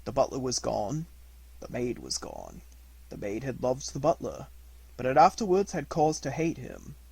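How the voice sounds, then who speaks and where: A man with a husky voice giving an expressive, scripted reading of a classic detective story as an amateur audiobook narrator. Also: mid-range voice, moderate pace, dry recording, poor audio.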